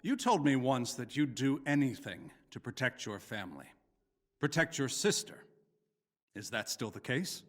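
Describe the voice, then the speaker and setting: A man plays an older, vengeful scientist for a video game, cold and contemptuous. His delivery swings widely in pitch within formal, deliberate menace, and a long reverb hangs on the voice. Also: animated, natural voice. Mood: cold threat. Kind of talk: dramatic video game voice acting.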